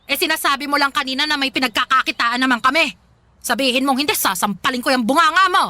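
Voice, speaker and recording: A woman's voice: high-pitched, fast talker, pushed and bright, faint music behind. A woman acting a harsh, domineering older mother in a radio drama, scolding and mocking. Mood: angry scolding.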